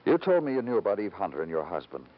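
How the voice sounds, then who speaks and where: A man's voice: fairly low pitch, wildly swooping pitch, very fast. An American man playing a hardened, brooding New York homicide detective in a vintage radio crime drama. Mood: pressing. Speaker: lead actor and narrator of a radio crime drama. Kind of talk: 1950s radio crime drama acting, noir narration. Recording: muffled, noisy old radio recording.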